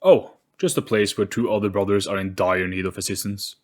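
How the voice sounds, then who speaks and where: A man's voice: on the low side, fairly even intonation, a little fast, natural voice. A man voicing a smug, sardonic trickster spirit in a storybook audio play, breezy and flippant in manner. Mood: flippant, bored. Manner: breezy, flippant, self-satisfied.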